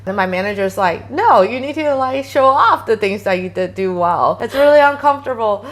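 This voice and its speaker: A woman in her thirties who grew up in Taiwan, talking as an interview guest in a chatty way, with many fillers and lively quotes of herself. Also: on the high side, a little fast. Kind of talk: casual interview conversation.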